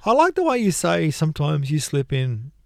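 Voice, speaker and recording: A man's voice: fairly high pitch, huge pitch swings, normal speed, vocal fry, no room sound, studio quality. A man hosting a radio chat show, talking in a playful, musing way with his guest. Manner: chatty, playful, musing aloud. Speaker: radio host. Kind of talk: casual chat.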